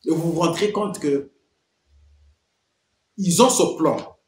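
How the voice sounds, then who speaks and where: A man's voice: on the high side, slow pace, echoey room. A man giving emphatic political commentary, full of rhetorical questions and repeated phrases.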